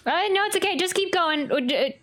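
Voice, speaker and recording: A woman's voice: very high-pitched, expressive, quick pace, a bit bright. A woman streaming a game with casual, playful reaction commentary, chatting to the characters as she plays. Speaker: gaming streamer.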